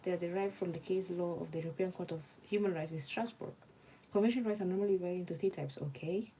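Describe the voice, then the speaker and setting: A woman's voice: lowish voice, quick pace, mellow, breathy edge, poor audio. A young woman from Nigeria with a Nigerian accent, a law student murmuring her notes aloud and chatting with viewers on a casual study livestream. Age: young adult.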